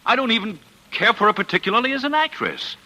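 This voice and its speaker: An old radio drama recording of a harried, exasperated husband and film producer. His voice is forceful and swings widely in pitch. He pleads and insists earnestly in the 1940s manner. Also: expressive, quick pace, full character voice, poor audio. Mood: pleading.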